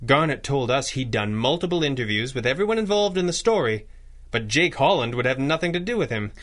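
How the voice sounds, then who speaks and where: An actor playing a calm, wealthy masked crime-fighter in a 1930s-style radio drama, articulate and dryly witty. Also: male voice, medium pitch. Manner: dry, measured, articulate.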